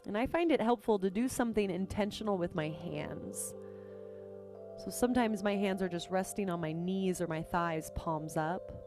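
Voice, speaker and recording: A woman leading a guided meditation as a teacher, speaking gently and unhurriedly with pauses between phrases. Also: mid-range voice. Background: music.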